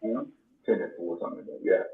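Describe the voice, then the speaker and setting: A man in casual group discussion on a remote call, rambling, repeating himself and swearing. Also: quick pace. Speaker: podcast participant. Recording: distant, echoey, phone-like line.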